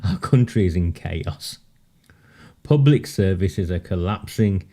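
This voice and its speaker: Male commentator, low mellow voice, sardonic live-stream host who chuckles between points. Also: animated, slow pace. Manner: sardonic, laughs often, emphatic repetition. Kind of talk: casual live-stream monologue.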